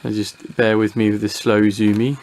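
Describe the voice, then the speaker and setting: A man's voice: lowish voice, level tone, normal speed, a little mellow. A British man giving a casual, unscripted hobby review, talking through what he shows in a hesitant, rambling way, thinking aloud.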